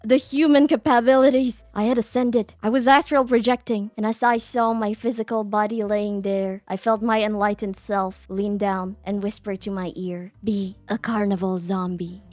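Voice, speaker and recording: A woman's voice: medium pitch, little pitch movement, normal speed, hardly any pauses, a little mellow, dry recording. A woman from Indonesia chatting casually as an interview guest, with a dramatic storyteller's way of talking. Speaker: VTuber being interviewed.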